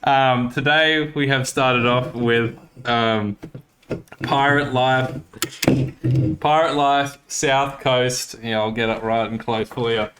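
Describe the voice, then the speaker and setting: A man's voice: mid-range voice, steady tone, slow, fairly bright tone, slight background noise. A young Australian man co-hosting a podcast in a casual, rambling way, often correcting himself as he goes. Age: young adult. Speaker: podcast co-host. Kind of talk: casual chat.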